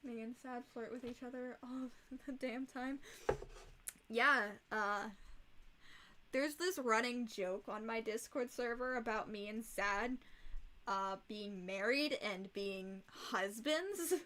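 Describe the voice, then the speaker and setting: Slow, bright-voiced hoarse young woman. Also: on the high side. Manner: blunt, exasperated, swears freely. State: hoarse, rough voice. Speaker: livestreamer. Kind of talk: casual livestream chat.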